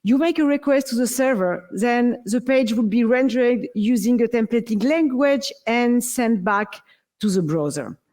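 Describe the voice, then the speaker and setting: A woman's voice: fairly high pitch, a little slow, darkish tone. French woman presenting at a tech conference; upbeat and explanatory, speaking into a stage mic. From France. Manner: upbeat, explanatory, playful comparisons.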